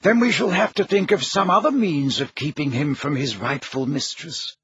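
A man voicing an elderly male wizard who speaks in a measured, courteous, dryly amused way. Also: fairly high pitch, fairly bright tone, highs cut off, natural voice. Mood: calm. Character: elderly male wizard headmaster, calm and wry.

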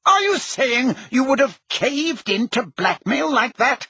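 A man's voice: high-pitched, sing-song, unhurried, fairly bright tone, slightly rough, no room sound, voice pushed up, exaggerated voice. A man voicing a flustered, defensive older wizard minister in an audiobook, speaking with agitated urgency. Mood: heated, indignant. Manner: agitated, urgent, self-justifying. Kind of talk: audiobook character acting.

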